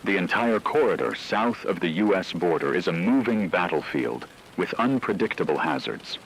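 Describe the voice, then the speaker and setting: A man playing a grave radio news anchor, delivering a scripted emergency war broadcast in a measured, solemn, analytical manner. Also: low voice, very animated, slight background noise, rough recording. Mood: grave, urgent.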